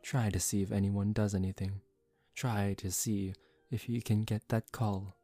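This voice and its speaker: A man voicing a suave, condescending young male vampire in a soft-spoken ASMR roleplay, calm and teasing. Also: lowish voice, unhurried. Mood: smug, persuasive. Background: relaxing background music.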